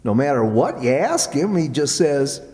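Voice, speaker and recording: A man's voice: mid-range voice, sing-song, moderate pace, dark tone, natural voice. A man playing a mild, gullible and wry country sidekick with a folksy rural Southern drawl, in old-time radio comedy style. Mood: dry, wry. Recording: echoey hall with long reverb.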